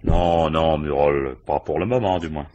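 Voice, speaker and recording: An actor playing a shrewd, world-weary police commissioner in a French radio crime drama, with a dry, probing, ironic manner. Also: male voice, very deep voice, a little slow, mellow, lo-fi sound, a little hiss or hum.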